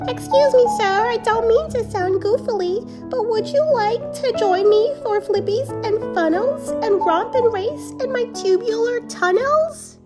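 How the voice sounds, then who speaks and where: Playful prairie dog: very high squeak, polite, bubbly. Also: female voice, measured pace, hardly any pauses, over loud music.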